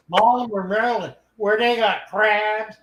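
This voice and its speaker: Slow-talking older man in an echoey room. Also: high voice, fairly even intonation, heavy background noise. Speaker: host. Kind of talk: casual live stream chat.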